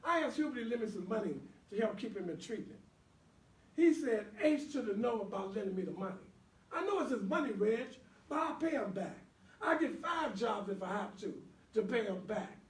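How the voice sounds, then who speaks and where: A man's voice: sits high, animated, brisk. A man acting a guilt-ridden younger brother and troubled father in a stage play skit, earnest and confessional. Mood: pleading, upset. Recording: echoey room, distant mic.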